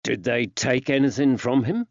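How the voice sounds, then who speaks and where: A man voicing a kindly character who gently coaxes answers from a child, with old-fashioned working-class phrasing. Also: medium pitch, normal speed, no room sound, highs cut off, close to an everyday voice. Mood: probing, encouraging.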